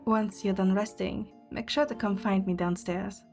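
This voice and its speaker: A woman's voice: mid-range voice, steady tone, moderate pace, creaky, darkish tone, soft background music. A woman performing scripted first-person narration and lead dialogue in an audio drama.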